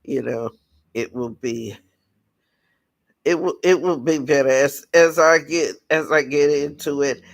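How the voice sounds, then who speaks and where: A raspy-voiced man from the United States, hosting a casual livestream talk and speaking in a halting way with many restarts. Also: a little high, no echo. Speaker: channel host.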